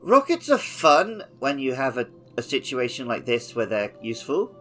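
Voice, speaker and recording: A man's voice: mid-range voice, big pitch swings, normal speed, fairly bright tone, faint music behind. A man co-hosting a casual gaming podcast, explaining things in a conversational way. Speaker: podcast co-host.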